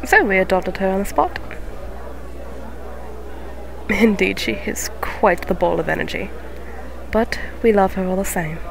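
A woman playing a gentle, motherly young cat witch who keeps a tavern, speaking softly and formally in an ASMR fantasy roleplay. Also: medium pitch, creaky, close to an everyday voice, low-quality recording. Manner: soft-spoken, formal, unhurried phrasing. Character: gentle eighteen-year-old neko witch tavern keeper, motherly, polite.

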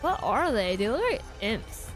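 A woman's voice: fairly high pitch, big pitch swings, measured pace. A woman livestreamer reacting casually and slangily to a game, with game music behind her. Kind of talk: casual gameplay reaction chat. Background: video game music.

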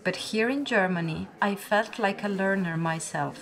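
A woman in her late twenties from Bosnia with a Bosnian accent, narrating a scripted documentary in a reflective, measured manner. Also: mid-range voice, creaky. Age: late 20s. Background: music.

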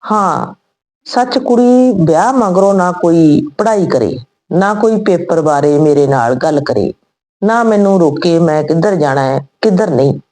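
A woman's voice: fairly low pitch, warm tone. A woman storyteller voicing an arrogant, controlling young bridegroom who dictates his terms.

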